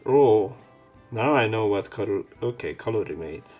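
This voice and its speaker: A man's voice: fairly low pitch, lively intonation, normal speed, darkish tone. A man hosting a let's play, reading lines aloud and commenting casually with informal, wry asides. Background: game music.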